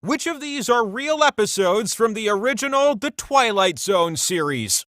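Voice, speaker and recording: Picture a grandiose, all-powerful wheel deity hosting a video game quiz. The voice is high for a male and forceful, recorded close and dry. He delivers everything with pompous theatrical flair and a wry, mock-solemn edge. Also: no echo, full character voice.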